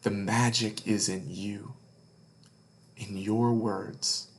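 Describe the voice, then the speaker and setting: A man reading a children's story aloud as a read-along host, earnest and deliberate, with dramatic emphasis and hushed phrase endings. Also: lowish voice, fairly even intonation, a little hiss or hum.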